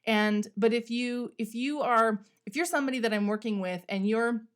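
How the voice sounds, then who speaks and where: A woman's voice: on the high side, normal speed. A woman hosting a solo podcast, talking casually and candidly in a conversational, emphatic way with some salty language. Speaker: podcast host.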